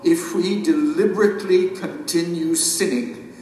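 A male actor plays a solemn court sage in an audio drama. He recites ancient writings slowly and formally. His voice comes from a distance in a great reverberant hall. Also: a little high, darkish tone, lightly acted. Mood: grave reciting. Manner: measured, grave, formal. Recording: distant, echoing hall with faint music.

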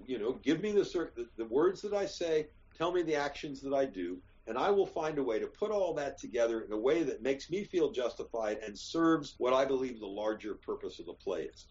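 An older American man rambles emphatically through his thoughts and swears without a pause. His voice sits at an ordinary middle pitch. It comes through as a dull, lo-fi video call. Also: a little mellow. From United States. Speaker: actor and guest. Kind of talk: candid interview chat.

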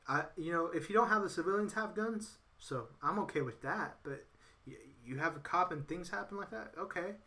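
A man's voice: high voice, brisk, sharp tone. A man in casual political chat, rambling and repeating himself with lots of "you know". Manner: acts out others in quoted voices.

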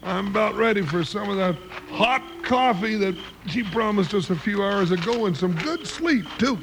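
An actor playing a genial, folksy cowboy sidekick in a noisy old-time radio western. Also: male voice, high-pitched, animated, normal speed, gravelly, over music. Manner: folksy, drawling, comic reluctance. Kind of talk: 1950s radio western acting.

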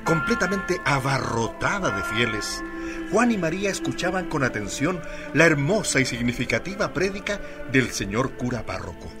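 A man's voice: mid-range voice, very animated, moderate pace, lightly acted. A man reading scripted narration for a radio drama. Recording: loud music under the voice.

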